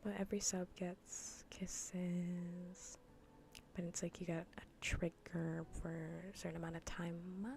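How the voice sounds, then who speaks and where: A woman streaming in a soft, breathy, often whispered ASMR voice, chatting informally and playfully close to the mic. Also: on the low side, monotone, measured pace, a little hiss or hum. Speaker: streamer hosting a live cozy gaming broadcast. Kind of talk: soft-spoken ASMR casual chat. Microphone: close ASMR microphone.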